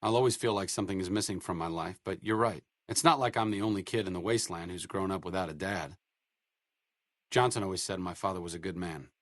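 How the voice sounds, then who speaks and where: Casting note: sardonic low-voiced game doctor, middle-aged, dry booth sound, played by a man. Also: no echo, natural voice. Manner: dry, sarcastic, earnest. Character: wry idealistic male wasteland doctor. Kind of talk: video game voice lines.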